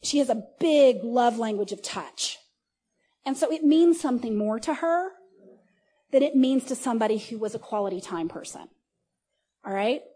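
A woman in her forties giving a casual, conversational sermon at a church service, animated, often laughing and restarting her sentences. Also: on the high side, normal speed, long pauses, husky. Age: 40s. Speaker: preacher.

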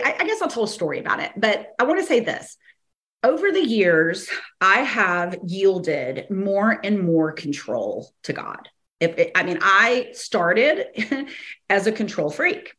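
A woman with a slightly hoarse, fairly low voice hosts her teaching podcast. She talks in a rambling, casual way, full of fillers and restarts, and laughs briefly between thoughts. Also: a bit bright. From American South. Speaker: podcast host and ministry teacher.

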